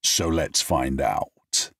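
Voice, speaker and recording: A man's voice: extremely low pitch, drawn-out words, gravelly, fairly bright tone, no room sound, exaggerated voice. A man voicing a tired male friend who talks in a dry, mildly mocking way.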